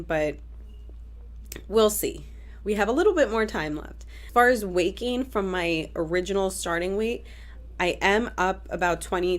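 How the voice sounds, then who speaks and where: A young woman with a stuffy nose from a cold, chatting casually in a vlog update with frequent fillers and restarts. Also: on the low side. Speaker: vlogger.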